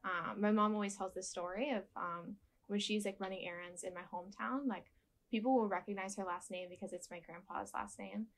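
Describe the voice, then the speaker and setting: Soft-spoken young woman, gentle and reflective, lots of ums, interview in a dark studio. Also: medium pitch, steady tone, brisk. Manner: gentle, thoughtful, many ums and restarts.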